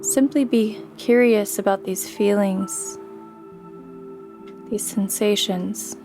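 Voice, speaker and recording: A woman leads a guided sleep meditation at a very slow, unhurried pace. Her mid-pitched voice stays flat and even, gently instructing the listener. Soft music plays behind her. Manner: gentle, soothing, unhurried instruction with long pauses.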